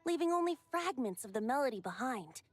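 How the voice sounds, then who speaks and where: A woman playing a youthful, androgynous bard in an anime game, playful and gentle, with a lilting storyteller's delivery. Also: high voice, big pitch swings, fairly quick, soft background music. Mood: gentle, inviting.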